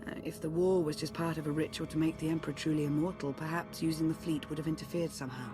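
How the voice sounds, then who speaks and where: An actress playing a composed, analytical female strategist in a video game, formal and measured as she explains. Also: low-pitched, fairly even intonation, music in the background, close to an everyday voice. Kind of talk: dramatic video game acting.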